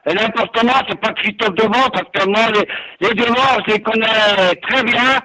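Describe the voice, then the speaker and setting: A man's voice: sits high, steady tone, moderate pace, sharp tone. A man arguing heatedly on a phone call, indignant and threatening, repeating himself. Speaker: prank call target.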